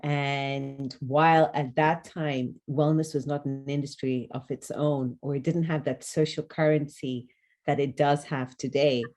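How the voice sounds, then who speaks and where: A woman's voice: low-pitched, steady tone, measured pace, darkish tone. A woman in her forties from Kenya, speaking articulately and earnestly as a guest answering questions in a conversational interview. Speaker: wellness consultant and author, podcast guest.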